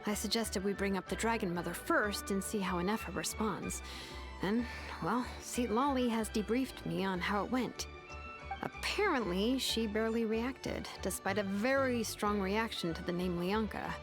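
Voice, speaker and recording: A woman's voice: medium pitch, normal speed, lightly acted. An actress voicing a friendly, candid woman in a video game, explaining things conversationally. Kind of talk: scripted game dialogue. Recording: game audio with music underneath.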